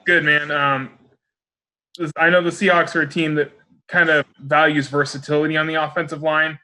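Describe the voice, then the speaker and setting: A man working as a sports reporter asks questions on a conference call, informal and a little halting. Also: fairly high pitch, little pitch movement, sharp tone, poor audio.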